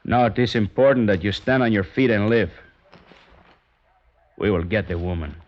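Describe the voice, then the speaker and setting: Deep and low, this sneering guitar player, played by an actor, taunts slowly and coldly in an old radio drama. The sound is dull and muffled, like a vintage broadcast recording. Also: male voice, close to an everyday voice. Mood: gruff. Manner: mocking, taunting, slow and cold. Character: sardonic menacing male guitar player. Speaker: emissary of the kidnappers. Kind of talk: 1950s radio drama acting.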